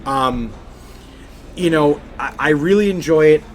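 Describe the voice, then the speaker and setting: A man's voice: fairly high pitch, measured pace, slight background noise, low-quality recording. A man co-hosting a video game podcast in casual chat, speaking emphatically and effusively and repeating phrases for stress. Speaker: podcast co-host.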